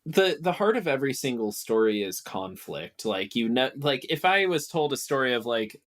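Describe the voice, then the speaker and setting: A man's voice: mid-range voice, sing-song, moderate pace, fairly bright tone. A man speaking as a podcast guest in casual discussion, emphatic and explanatory.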